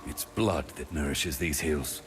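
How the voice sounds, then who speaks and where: A man's voice: very deep voice, unhurried. An actor playing a suspicious, loyal male warrior in a fantasy game, brooding and dramatic in delivery. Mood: wary, warning. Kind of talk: fantasy video game dialogue.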